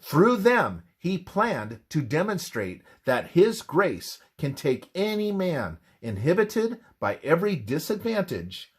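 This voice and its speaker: A man narrates a devotional text with solemn emphasis. His pace is slow and deliberate, and his voice has a husky grain. The pitch moves widely as he stresses each measured phrase. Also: big pitch swings. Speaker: narrator reading a religious book.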